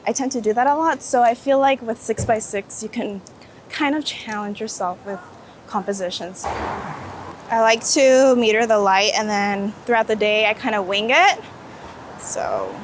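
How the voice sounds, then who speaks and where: High-voiced woman, chatting on the street. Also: normal speed, poor audio. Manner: enthusiastic, informal, peppered with "like". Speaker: street photographer being interviewed. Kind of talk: casual interview chat while walking. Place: outdoors on a city street. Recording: outdoor city street traffic noise. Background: street ambience and passing vehicles.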